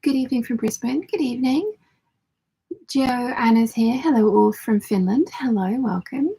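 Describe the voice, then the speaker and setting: A woman with a croaky voice, chatting casually and ramblingly as the host of a live hangout. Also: on the high side, moderate pace, dark tone, low-quality recording. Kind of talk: casual livestream chat, reading out viewer comments.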